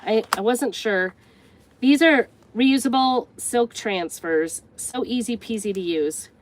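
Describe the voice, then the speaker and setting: A woman's voice: on the high side, takes it slowly. Congested grandmother chatting while crafting. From United States. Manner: chatty, rambling, thinks aloud while working. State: stuffed up, congested. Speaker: craft vlogger. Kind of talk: casual craft tutorial chat.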